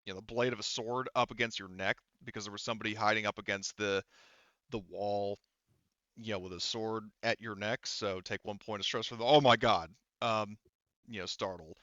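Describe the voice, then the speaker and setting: A man narrating a tabletop roleplaying game as game master in a halting, conversational way. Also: on the low side, steady tone, sharp tone, dry recording, dull audio.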